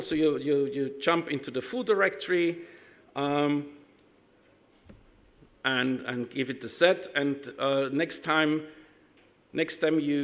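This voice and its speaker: A man from Austria with an Austrian German accent, giving a technical conference talk, halting with many ums and restarts, with dry self-deprecating humor. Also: a little high. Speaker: conference speaker.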